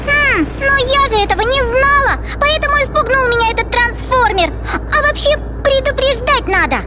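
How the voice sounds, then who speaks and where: A woman voicing a curious, excitable little girl sidekick in a children's cartoon, exclaiming and asking questions. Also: very high voice, normal speed. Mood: huffy. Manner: exclamatory, inquisitive. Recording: noisy engine sounds behind.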